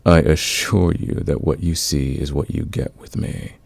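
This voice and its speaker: Smitten fantasy villain played by a man: extremely low, husky voice, unhurried, teasing and dominant, for intimate ASMR romance. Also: steady tone, a little mellow, no room sound, exaggerated voice. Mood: confessional, seductive. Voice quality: gravelly, husky. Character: smitten male fantasy villain, patient, dominant, smoothly seductive. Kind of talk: romantic ASMR audio roleplay.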